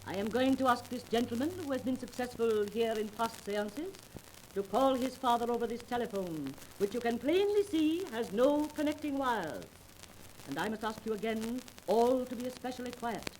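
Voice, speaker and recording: An actress in a 1930s radio drama playing a theatrical, grandiose fake spirit medium with a declamatory manner. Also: female voice, fairly high pitch, lively intonation, moderate pace, a little mellow, lightly acted. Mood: solemn, theatrical. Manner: declamatory, solemnly showy. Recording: noisy 1930s radio transcription disc.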